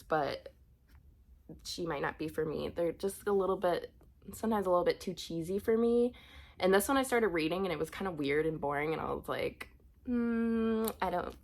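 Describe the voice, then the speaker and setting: A young woman talks in a relaxed, chatty way, full of ums and self-corrections. She has a cold and sounds a little sniffly. Her voice sits slightly low. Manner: chatty, frequent ums and restarts. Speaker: book vlogger. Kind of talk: casual book unhaul chat.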